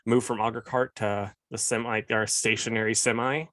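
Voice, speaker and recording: A man's voice: on the low side, flat delivery, brisk, fairly bright tone, dry recording. A man co-hosting a podcast, chatting casually in a laid-back, rambling way. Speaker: podcast co-host. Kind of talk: casual chat.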